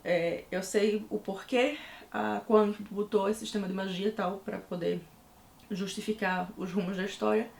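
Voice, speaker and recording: A woman's voice: mid-range voice, steady tone, measured pace, gravelly, a little mellow. Chatty woman from Recife, Pernambuco. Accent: Recife Pernambuco Portuguese. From Recife, Pernambuco, Brazil. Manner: chatty, full of fillers. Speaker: book reviewer. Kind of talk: casual book review vlog. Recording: echoey room.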